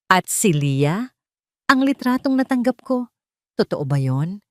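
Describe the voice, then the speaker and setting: A woman's voice: mid-range voice, big pitch swings, measured pace, darkish tone, no echo, voice pushed up. A dignified wife, played by a woman narrator speaks with restraint. Her voice sounds dry and close, in the manner of an audio drama heroine. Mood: anxious. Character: composed, dignified wife and mistress of the mansion.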